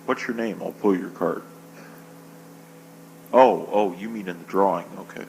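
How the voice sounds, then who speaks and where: A man's voice: low-pitched, unhurried, darkish tone, a little hiss or hum, low-quality recording. A man moderating a conference session, teasing the speaker in playful banter.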